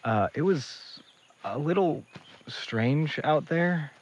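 An actor playing a polite young man in an audio drama, uneasy and careful with his words. The voice is husky and tentative, recorded with a slightly dulled sound. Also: on the high side, lively intonation, slow pace, muffled recording, full character voice. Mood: cautious, probing. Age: young adult.

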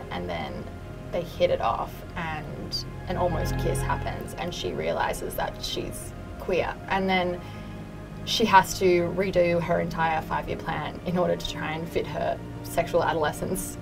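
A young woman answers interview questions in a relaxed, chatty way, full of fillers and little self-deprecating asides. Her voice sits low and has a gravelly edge. Music plays clearly behind her. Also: poor audio.

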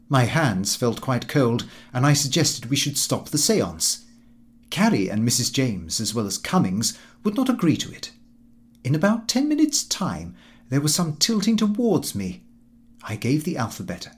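A man's voice: mid-range voice, close to an everyday voice. A man voicing a pompous, fussy middle-aged Victorian clerk in comic audiobook narration, prim and precise in his delivery. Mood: prim, suspicious. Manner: prim, self-important, precise.

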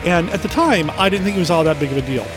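A man hosts a podcast with a high, nasal voice, stuffed up from a head cold. He talks with eager enthusiasm, and music plays clearly behind him. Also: lively intonation, fast. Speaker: podcast host.

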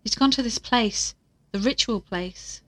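A woman's voice: on the high side, unhurried, a bit bright. A woman playing an anxious, plain-spoken young investigator narrating her journey in an audio drama, casual and hesitant. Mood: worried. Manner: casual, hesitant, uneasy asides. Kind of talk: audio drama acting, recorded diary narration.

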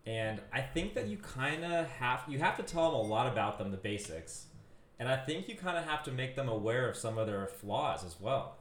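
A man's voice: mid-range voice, sing-song, moderate pace, fairly bright tone, echoey room, a little hiss or hum. A man hosting a casual podcast, upbeat and steering the conversation. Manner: upbeat, leads the talk. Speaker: podcast host. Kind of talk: casual chat.

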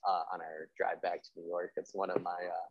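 A man with a low, slightly husky voice, talking quickly as he hosts an interview. His style is casual and chatty, and the recording is dry and a little dull. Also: level tone, dry recording. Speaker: podcast host, interviewer.

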